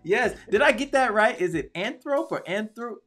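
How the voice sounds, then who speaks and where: A man's voice: high-pitched, animated, normal speed, a bit bright, soft background music. A man hosting a casual talk show interview, chatty and hyped, repeating himself often.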